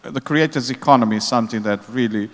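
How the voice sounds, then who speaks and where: A man giving an informal introduction on a conference panel, halting and searching for words. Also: medium pitch. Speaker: panelist, tech entrepreneur. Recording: echoey hall reverb.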